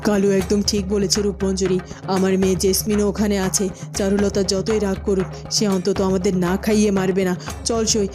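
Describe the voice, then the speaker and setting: A woman's voice: mid-range voice, level tone, a little fast, over music, natural voice. A woman playing a queen's fed-up companion in a cartoon folk tale, complaining in a plaintive, scolding way. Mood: urging.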